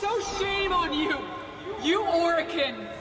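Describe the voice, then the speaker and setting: Very high-pitched, scornful accuser. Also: male voice, lively intonation, slow pace, exaggerated voice. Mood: scornful outrage. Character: high-voiced accuser, bitter, scornful and outraged. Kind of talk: dramatic video game voice acting. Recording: echoing hall, loud music and crowd.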